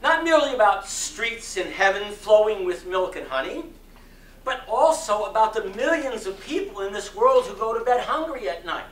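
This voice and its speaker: A man giving a dramatic sermon reading to a live audience, with deliberate, oratorical phrasing. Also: high-pitched, very animated, fairly bright tone. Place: community center hall. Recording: roomy echo, distant mic, faint noise.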